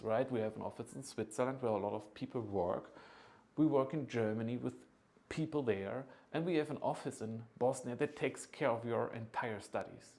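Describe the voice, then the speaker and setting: A man in his thirties giving an informal, earnest promotional pitch as a consultant, persuasive and often checking in with the listener. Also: a little mellow. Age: 30s. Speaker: agency founder and study consultant.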